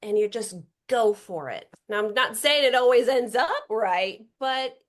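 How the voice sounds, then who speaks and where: A talkative woman in her late forties with a fairly high voice, chatting as a podcast guest. She sounds upbeat, circles back on her thoughts and restarts sentences as she goes. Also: animated, unhurried. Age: 40s. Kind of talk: casual chat.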